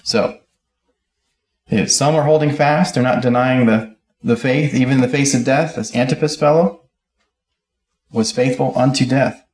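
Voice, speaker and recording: A man's voice: medium pitch, steady tone, normal speed, long pauses, darkish tone, echoey room. A middle-aged man preaching a sermon at a pulpit, reading scripture aloud and explaining it verse by verse. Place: church auditorium, speaking at a pulpit.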